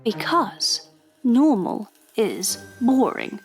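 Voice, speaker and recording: Slow-talking, defiant lady detective. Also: fairly high pitch, very animated, husky, soft background music, exaggerated voice. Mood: defiant, dry. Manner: dry, self-assured. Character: defiant, sharp-witted young female undercover agent in Edwardian London. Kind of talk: audio drama acting and narration.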